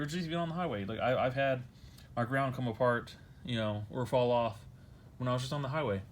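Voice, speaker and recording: An American man giving a casual, rambling hands-on how-to, repeating himself and apologizing as he goes on a handheld camera. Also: mid-range voice. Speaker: DIY vehicle vlogger. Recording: noisy handheld amateur video.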